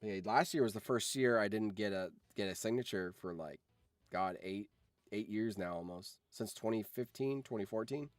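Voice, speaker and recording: A man's voice: on the low side, normal speed, dry recording. A man chatting casually on a livestream, telling anecdotes in an informal, sweary, enthusiastic fan's way. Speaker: streamer and voice actor.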